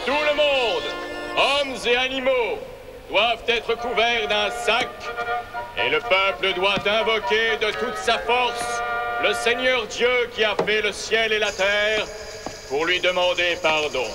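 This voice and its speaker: An actor playing an earnest royal herald, proclaiming formally and fervently in a dubbed animated Bible cartoon. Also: male voice, sits high, slow pace. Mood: formal proclamation. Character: earnest royal herald pleading for God's mercy. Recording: loud music under the voice.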